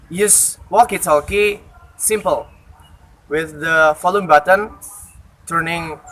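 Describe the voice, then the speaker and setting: A young man giving a halting step-by-step demonstration in simple non-native English, outdoors. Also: fairly high pitch, bright, forceful tone. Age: young adult. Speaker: student demonstrator. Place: outdoors beside a campus building. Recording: faintly noisy outdoor recording.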